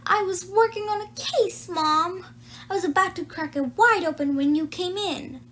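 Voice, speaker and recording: A woman's voice: very high-pitched, moderate pace, a bit bright, voice pushed up. A woman voicing an eager, pushy young girl detective in a storybook, insistent and dramatic. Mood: annoyed.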